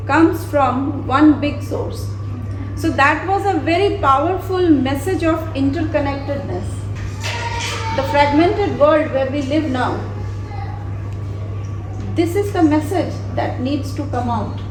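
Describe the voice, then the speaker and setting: A woman's voice: sits high, slow pace, echoey room, over music, rough recording. A woman translator speaking as a guest in an interview, answering thoughtfully and sometimes restarting her words as she searches for them. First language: not Dutch.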